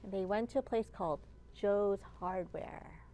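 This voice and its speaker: A woman children's librarian reading aloud to young children in an encouraging storytime manner, pausing to ask simple questions. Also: mid-range voice, warm tone, a little hiss or hum. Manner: slow, encouraging, asks listeners simple questions.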